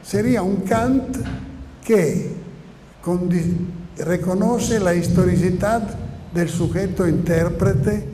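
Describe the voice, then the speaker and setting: An older man, a native Italian speaker with an Italian accent, giving a halting, improvised academic lecture, often searching for words and repeating phrases. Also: high voice, warm tone. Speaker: seminar lecturer. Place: seminar room or hall, indoors. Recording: echoey reverberant hall with room noise.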